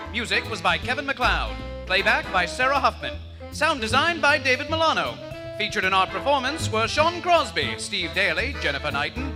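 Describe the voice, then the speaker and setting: A man reading scripted radio show credits as an announcer. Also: very high-pitched.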